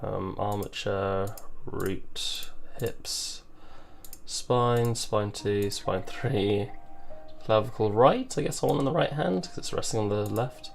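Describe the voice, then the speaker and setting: A tired man who has just woken up, talking casually with a gravelly voice and muttering to himself as he works through a game development livestream. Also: lowish voice, slow, a little hiss or hum. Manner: muttering to himself, thinking aloud. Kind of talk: casual livestream commentary while working.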